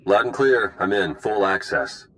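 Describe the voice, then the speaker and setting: An actor playing a wry, easygoing spy and hacker in a video game, talking in a casual, dry way. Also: male voice, fairly low pitch, expressive, unhurried, a bit bright. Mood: focused. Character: wry, easygoing male spy and slicer ally.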